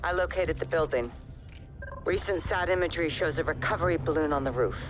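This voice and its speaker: A woman voicing a brisk, dry-witted intelligence handler in a video game cutscene. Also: sits low, lightly acted.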